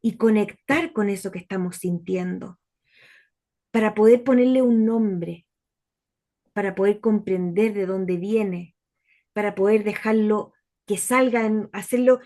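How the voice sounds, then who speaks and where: A woman's voice: mid-range voice, measured pace, warm tone. A woman speaking as a guest in a casual conversation, reflecting aloud and sometimes stopping to search for words.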